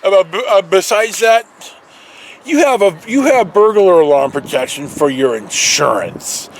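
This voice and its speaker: A middle-aged man telling a casual anecdote in a rambling, halting way, often repeating words and losing his thread. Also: sits high, animated. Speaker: storytelling vlogger, former alarm company guard. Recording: clear outdoor noise, passing traffic.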